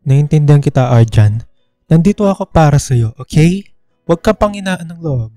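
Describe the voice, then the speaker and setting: Man playing a mellow, caring young suitor. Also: on the high side, lively intonation, moderate pace, no echo, clean studio sound, soft background music, natural voice. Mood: earnest, comforting. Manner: gentle, encouraging. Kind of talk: radio drama dialogue.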